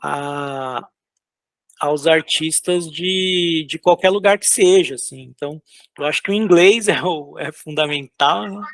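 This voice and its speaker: A man from São Paulo, a concept artist, chatting casually in an interview while drawing, with loose, halting speech full of fillers. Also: on the high side, fairly bright tone, dry recording. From São Paulo, Brazil. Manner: loose, hesitant, lots of filler words and restarts.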